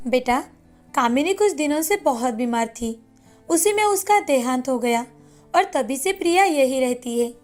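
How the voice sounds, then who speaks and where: A woman's voice: sits high, lively intonation, measured pace, a little mellow. A woman voicing a doting, class-proud wealthy mother of a grown son in a cartoon story, with an emotional, exclaiming manner. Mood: affectionate. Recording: music bed behind the voice.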